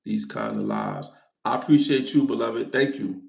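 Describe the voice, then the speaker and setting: Livestream host, man, forties, gravelly, playful, repeats himself, roomy sound. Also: medium pitch, wildly swooping pitch, moderate pace. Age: 40s. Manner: loose, playful, repeats himself, thanks viewers for gifts. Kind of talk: casual livestream chat.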